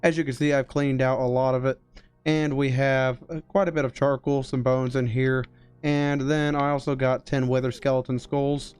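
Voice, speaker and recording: A young man narrating a gameplay walkthrough in a steady, explanatory way, his voice a little strained from being sick, speaking into a headset mic. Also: mid-range voice, normal speed, clear, resonant tone, faint music behind. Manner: explanatory, steady tutorial delivery. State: recovering from illness, voice strained. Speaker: game streamer.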